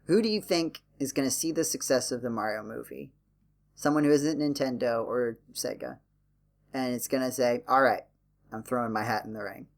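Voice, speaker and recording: A man's voice: mid-range voice, normal speed, creaky. Wry male podcast host. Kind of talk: casual podcast discussion.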